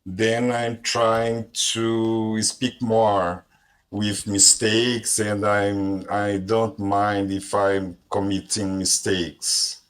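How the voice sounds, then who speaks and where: A man's voice: lowish voice, little pitch movement. A man with a strong Brazilian accent, Portuguese his first language, speaking hesitantly as a language learner in an online conversation group with many restarts. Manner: hesitant, halting, frequent restarts. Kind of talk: online conversation party chat.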